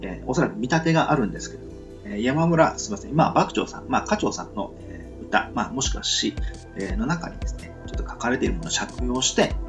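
Halting man with lively pitch, creaky voice. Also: dull audio, over music. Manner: halting, apologetic, self-deprecating; polite and humble. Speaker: podcast host introducing web novels. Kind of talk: casual solo radio talk.

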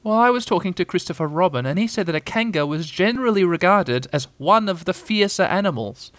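A man's voice: high-pitched, animated, brisk, a bit bright, no room sound, voice pushed up. A man voicing a small, timid, anxious piglet who frets nervously, as in a children's storybook. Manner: nervous, fretful.

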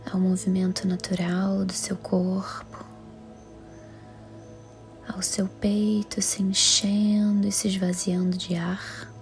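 Female Brazilian meditation guide. Soft, breathy, even delivery with very little pitch movement, soothing and measured, music underneath. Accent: Brazilian Portuguese.

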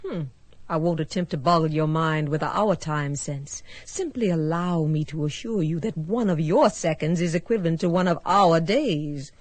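A woman's voice: sits low, moderate pace, dry recording. An actress playing an enigmatic, composed female space traveler with an arch, knowing, polite manner in a radio drama.

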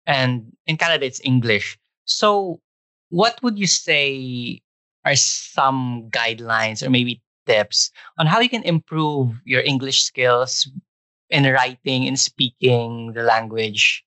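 Bright-toned male podcast host. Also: mid-range voice, slow, no echo, poor audio. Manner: encouraging, frequent short backchannels. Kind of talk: casual interview.

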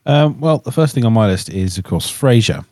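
A man chatting casually on a podcast in a hesitant, halting way, often repeating himself. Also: mid-range voice, lively intonation, mellow, dry recording, clean studio sound. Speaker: podcast co-host.

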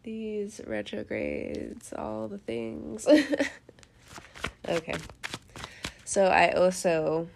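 A young woman with a stuffy head cold talks through a tarot reading in a loose, rambling way. She laughs a lot, and her voice carries a gravelly edge at mid pitch. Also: big pitch swings, very slow, pauses often, noisy background. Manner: chatty, laughs often, rambling asides, casual cursing. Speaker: tarot reader host. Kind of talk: casual tarot reading monologue.

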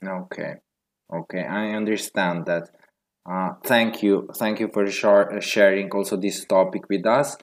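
A man's voice: low voice, fairly even intonation, unhurried. A man interviewing a guest, asking questions hesitantly with many fillers.

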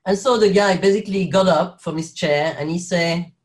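A man's voice: high voice, normal speed. French-accented man, chatty and laughing. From Belgium. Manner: rambling, laughs often, many restarts. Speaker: guest actor. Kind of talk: casual interview chat.

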